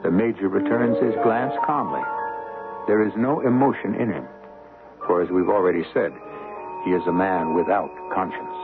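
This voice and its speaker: A man narrating a radio mystery drama as its host, with grave, measured, deliberate phrasing. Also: low-pitched, loud music behind the voice. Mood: grave, ominous. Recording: muffled old radio broadcast.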